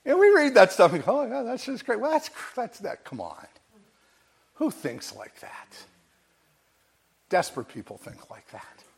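A man's voice: extremely high pitch, a bit bright, breathy edge. A man preaching a sermon with a big voice, telling a story with energy and acting out bits of dialogue. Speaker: pastor.

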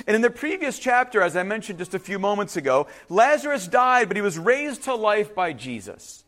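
Animated, high-voiced man preaching a sermon, Ukrainian native speaker. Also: brisk. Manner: conversational, informal asides, checks in with listeners. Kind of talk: sermon, reading and explaining scripture.

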